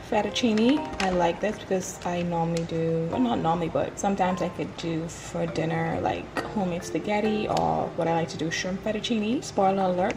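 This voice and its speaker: A woman's voice: medium pitch, low-quality recording. A woman vlogger talking informally through her shopping, thinking aloud with little asides. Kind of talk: casual grocery haul chat over close-ups. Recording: music under the voice. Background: background music.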